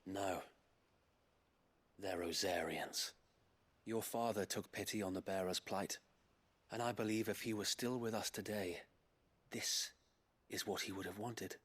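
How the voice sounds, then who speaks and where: A man's voice: sits low, fairly even intonation, unhurried, pauses often, fairly bright tone, slightly rough, exaggerated voice. A man voicing a loyal knight in a game, formal, earnest and deferential. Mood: earnest resolve. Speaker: video game character. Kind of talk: dramatic game voice acting. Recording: faint game music behind. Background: game music.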